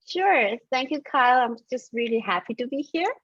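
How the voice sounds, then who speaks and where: A woman's voice: sits high, animated, normal speed, no echo, highs cut off. A middle-aged woman, a startup founder from Taiwan raised in Austria, answering interview questions earnestly with plenty of fillers. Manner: earnest and enthusiastic, with frequent fillers and occasional laughs.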